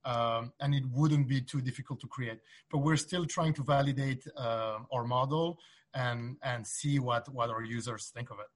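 A man from Belgium speaking as an interview guest, explaining in a casual, halting way. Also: medium pitch, steady tone. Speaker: interview guest, startup founder.

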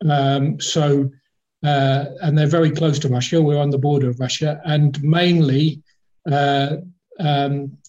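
A man's voice: fairly high pitch, steady tone, measured pace, darkish tone. A man with a slightly raised voice talks as a guest about football, rambling along with frequent ums and uhs and restarting his phrases. The sound is dull and lo-fi, like a remote call line. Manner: rambling, hesitant, many fillers and restarts. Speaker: former football club chief executive, guest. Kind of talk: casual podcast interview. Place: in the capital of Kazakhstan.

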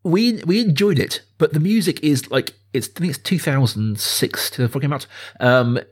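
A man's voice: on the high side, animated, moderate pace, husky. A man, talking casually and with rambling enthusiasm as a film podcast host. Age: 30s.

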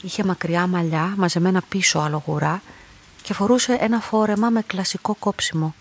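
An actress playing a young, lonely woman who narrates her own story in a radio drama, soft, restrained and matter-of-fact. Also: on the low side. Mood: quiet, detached. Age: young adult. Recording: music behind the voice.

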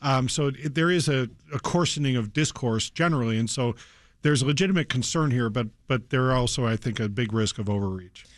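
A man with a mid-range voice speaking slowly and deliberately on a radio panel, stopping often to search for the right word. His voice sounds close and dry. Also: medium pitch, dry recording. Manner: measured, deliberate, pauses to search for words. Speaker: panelist, good-government advocate. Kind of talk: radio news roundtable.

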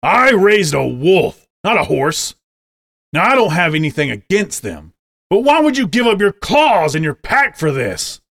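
A man voicing a stern, disapproving older wolf father who speaks gruffly and accusingly with a raised voice. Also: fairly high pitch, lively intonation, slow pace, hardly any pauses, a little mellow, dry recording, clean studio sound, exaggerated voice. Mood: angry.

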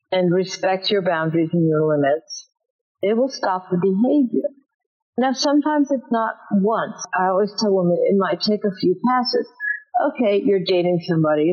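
A woman teaching self-defense in an interview, emphatic with a booming voice, often acting out example lines. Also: normal speed, slightly rough, lo-fi sound. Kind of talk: instructive interview talk.

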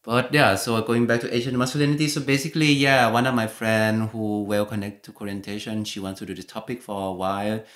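Young guy, really deep voice, lots of likes and um, casual chat. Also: steady tone, brisk, hardly any pauses, a bit bright. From Thailand. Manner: hesitant, many fillers and restarts. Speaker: guest. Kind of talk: casual conversation.

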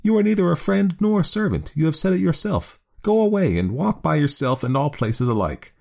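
A proud, clever cave woman who speaks in a raised, lofty sing-song, as a male narrator puts her on. Her lines ride high and swing widely in pitch, commanding and sure of herself. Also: sing-song, brisk, creaky, full character voice. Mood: haughty.

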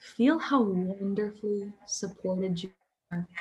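A woman guiding a sleep hypnosis session as a hypnotherapist, calm, with drawn-out pauses and audible breaths. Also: medium pitch. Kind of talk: guided sleep hypnosis, soothing relaxation script.

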